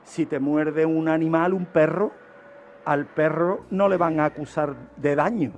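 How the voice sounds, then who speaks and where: A man's voice: a little high, fairly even intonation, a little slow, slight background noise. A man giving an explanatory radio talk as a criminologist, teaching by asking questions and answering them himself. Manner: didactic, asks and answers own questions. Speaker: criminologist presenting a radio segment.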